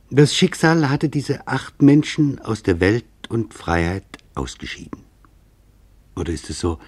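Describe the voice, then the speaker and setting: A man narrating a scripted literary documentary in a grave, measured way with deliberate pauses. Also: mid-range voice, a little mellow.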